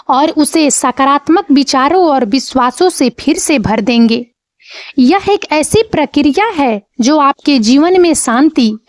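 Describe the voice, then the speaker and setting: Slow, high-pitched woman guiding meditation. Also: dry recording, studio quality. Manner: measured, soothing instructional delivery. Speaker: guided meditation narrator. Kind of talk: scripted guided meditation.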